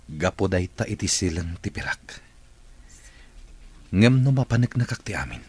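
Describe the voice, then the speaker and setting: A man's voice: deep voice, big pitch swings, moderate pace, a little mellow, husky, exaggerated voice. A man with an Ilocano accent acting in a radio drama as a weary, hopeful wealthy employer who is in therapy. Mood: grave.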